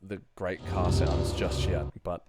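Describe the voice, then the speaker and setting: A man's voice: low voice, little pitch movement, takes it slowly. A man in his thirties thinking aloud as a sound designer at work, talking casually in short muttered fragments into a close mic. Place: small indoor room at home. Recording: loud music over the voice. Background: loud music.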